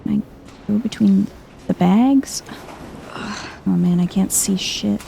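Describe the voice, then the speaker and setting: Female gameplay streamer, ASMR-style: soft, unhurried, mellow delivery, casual and chill, faint noise behind her. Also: mid-range voice, vocal fry. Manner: chill, murmuring to herself. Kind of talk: soft-spoken casual game commentary, ASMR vibe.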